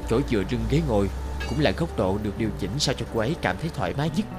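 An actor playing a courteous, devoted married office man in his thirties in a cartoon, polite and earnest in measured phrases. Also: lowish voice, animated, music in the background, close to an everyday voice. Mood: fond, earnest. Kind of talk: cartoon dub acting.

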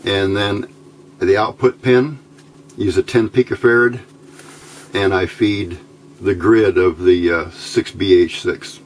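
A man giving an informal hands-on electronics tutorial, thinking aloud in a halting, unscripted way at an indoor workbench. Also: low voice, fairly even intonation. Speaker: electronics hobbyist demonstrating a homebuilt circuit. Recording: amateur recording with clear background noise.

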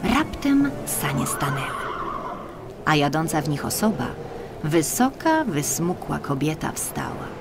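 A woman reading a scripted children's story as an audiobook narrator, with expressive, deliberate phrasing. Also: low voice. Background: music.

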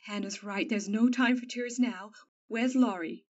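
A woman playing a calm, loving mother of four who speaks gently and steadily. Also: a little high, a little slow, far from the mic, highs cut off.

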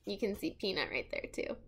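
A young adult woman reading a children's book aloud in an expressive, animated manner, talking directly to her young listeners. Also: mid-range voice, moderate pace, a little hiss or hum. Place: small furnished room at home.

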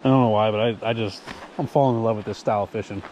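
A man chatting casually and excitably in slang as he hosts a fishing vlog outdoors on an action camera. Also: fairly low pitch, very fast, poor audio. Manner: excitable, slangy, says dude and man often. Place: outdoors at a wooded creek. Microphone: action camera worn or held by him. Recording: outdoor recording with background noise.

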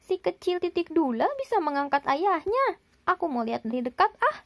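A woman voicing a forgetful, easily confused, excitable man from a storybook, in a dramatic, bewildered manner. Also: very high voice, fairly quick, no echo, heavily acted. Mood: amazed.

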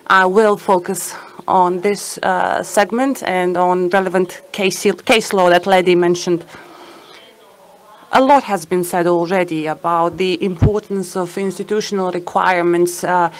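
A woman's voice: on the low side, fairly even intonation, a little slow. A woman giving live simultaneous interpretation of a conference talk, hesitant with fillers and an uneven pace.

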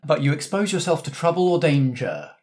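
A man's voice: a little high, sing-song, brisk, darkish tone. A man acting as game master, narrating scenes and rules in a conversational, descriptive way. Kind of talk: narrating a tabletop roleplaying game.

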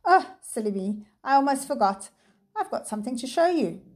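A woman voicing a sinister smooth-talking professor villain. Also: high-pitched, big pitch swings, normal speed, pitched above the performer's own voice. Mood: falsely pleasant. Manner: pleasant tone turning to threats and shouting.

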